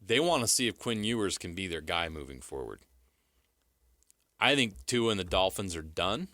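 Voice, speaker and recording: A man's voice: low-pitched, big pitch swings, moderate pace, pushed and bright, no echo, clean studio sound. A man co-hosting sports radio, giving assured explanations and answering his own questions. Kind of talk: sports talk analysis. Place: radio studio.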